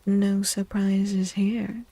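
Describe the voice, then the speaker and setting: A woman hosts a soft-spoken ASMR stream in a mellow, breathy voice that often drops toward a whisper. Her delivery is slow and gentle, with a creaky edge, and she soothingly repeats little phrases to listeners. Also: mid-range voice, flat delivery, dry recording, low-quality recording.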